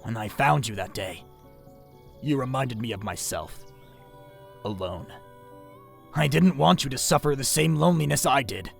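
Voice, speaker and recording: A man voicing a solitary male warrior mentor in an audio drama, grave and measured, with a gravelly voice. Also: mid-range voice, expressive, soft background music.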